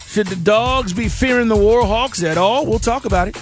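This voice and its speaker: A man's voice: sits high, moderate pace, loud music behind the voice. A man speaking in a sports radio show promo.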